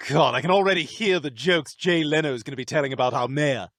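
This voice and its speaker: A man's voice: on the high side, a little fast, fairly bright tone, no echo, clean studio sound, natural voice. An actor voicing a chatty man in scripted comedy dialogue.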